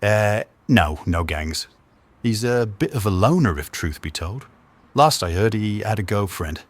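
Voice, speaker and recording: An actor playing a laid-back junior British police detective in an audio drama, talking in a casual, colloquial, offhand way. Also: male voice, fairly low pitch, animated, measured pace. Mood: offhand, wry.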